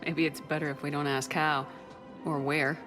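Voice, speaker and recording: A woman's voice: sits low, flat delivery, moderate pace, natural voice. A woman playing a tough, composed military commander in a video game, direct and controlled. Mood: easy, dry. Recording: music behind the voice.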